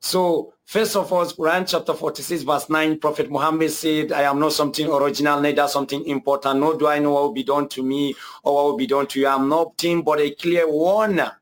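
A man's voice: a little high, steady tone, a little fast, few pauses. A young man with a Nigerian accent telling his own story earnestly, hesitating and repeating himself often. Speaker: guest telling his conversion testimony. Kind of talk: personal testimony.

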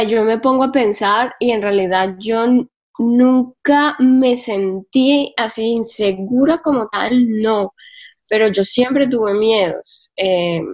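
A woman from Colombia's Caribbean coast with that region's Spanish accent, telling her experiences candidly in a casual interview and repeating words for emphasis. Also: on the high side. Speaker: interview guest, solo traveler and travel writer.

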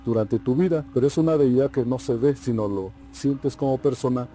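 A man's voice: medium pitch, normal speed, warm tone. A man from Oaxaca, a native Mazatec speaker with a Mexican accent, talking conversationally as an interview guest. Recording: faint music behind.

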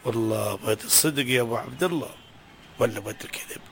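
Actor playing a broke, fed-up dad; high, bright, quick-talking, loud complaints. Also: male voice, animated, faint music behind. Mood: lamenting. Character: poor, harried father of nine. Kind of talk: comedy sketch acting.

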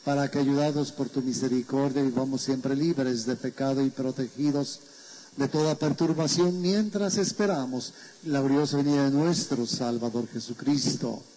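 A man serving as priest, reciting the prayers of the Mass in a slow, measured, solemn way. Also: mid-range voice, mellow, a little hiss or hum, low-quality recording.

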